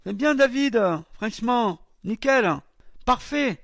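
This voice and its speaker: High-voiced man, web radio host, mocking and halting, dry close sound. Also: vocal fry, fairly bright tone, dry recording. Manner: mocking, defiant, full of hesitations. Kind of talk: commenting on recorded CB radio chatter.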